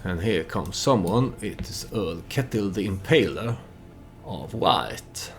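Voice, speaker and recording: Slow, low-pitched man narrating a game. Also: lively intonation, soft background music. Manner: halting, thinking aloud, speaking as his character. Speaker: let's play host. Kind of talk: game commentary with storytelling, unscripted.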